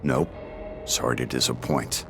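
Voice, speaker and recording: An actor playing a gruff, brooding warrior leader in a game, with a curt, hard-edged, commanding way of talking. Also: male voice, a bass voice, fairly even intonation, unhurried. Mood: grim. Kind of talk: dramatic game acting. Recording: loud dramatic music behind.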